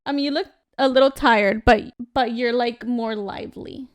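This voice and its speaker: A woman co-hosting a casual podcast chat, talkative and animated, laughing often. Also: on the high side, moderate pace, dry recording, studio quality. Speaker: podcast co-host.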